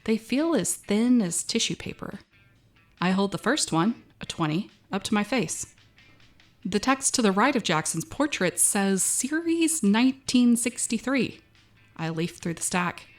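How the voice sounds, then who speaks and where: A woman's voice: medium pitch, animated, a little slow, faint music behind, natural voice. A woman playing an uneasy, wry young female cashier, narrating an audio drama in a wary, conversational first person.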